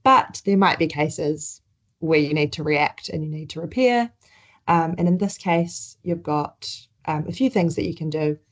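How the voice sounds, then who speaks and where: A woman's voice: low-pitched, lively intonation, measured pace. A woman with a New Zealand accent presenting slides in a webinar, conversational, with frequent ums and restarts.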